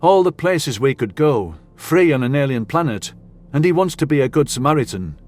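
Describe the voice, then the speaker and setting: A man's voice: fairly high pitch, animated, normal speed, darkish tone, faint music behind, close to an everyday voice. A man voicing a jokey male soldier with breezy, sarcastic ribbing, in a sci-fi audio story. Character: mocking, jokey young human male soldier.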